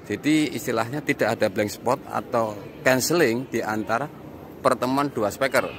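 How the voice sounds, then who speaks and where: A man giving an improvised walk-through review, explaining in a halting, repetitive way as he points things out, recorded on location. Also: medium pitch, background noise. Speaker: audio reviewer and vlog host. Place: inside a large mosque, walking around.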